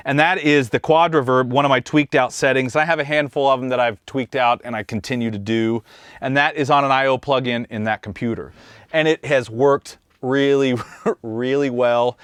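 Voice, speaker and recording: A man from Kansas talks through his studio mix in an easygoing, conversational way, like a host showing his own gear. His voice is smooth and clear and sits at an ordinary pitch. The sound is dry and close, with no room echo. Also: steady tone, normal speed, a bit bright. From Kansas, USA. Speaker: host, mixing engineer and drummer. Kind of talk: casual studio tutorial. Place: recording studio control room.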